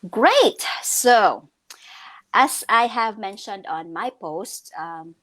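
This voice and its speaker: A woman's voice: medium pitch, lively intonation, drawn-out words, fairly bright tone. A woman from the Philippines co-hosting a casual online business chat, chatty and upbeat with frequent fillers and restarts. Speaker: co-host. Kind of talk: casual live-stream chat.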